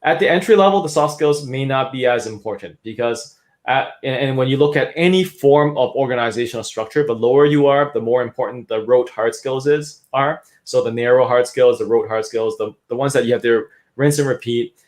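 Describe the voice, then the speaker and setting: Canadian-raised man, guest expert, explains at length with restarts and tag questions, mid-pitched voice. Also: fairly quick, darkish tone. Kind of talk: interview talk.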